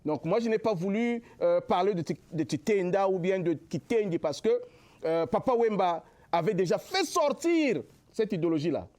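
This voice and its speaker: A young man from the Republic of the Congo, a musician guest answering in a TV interview, halting with many drawn-out fillers. His is Lari. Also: high-pitched, expressive, darkish tone. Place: local TV studio.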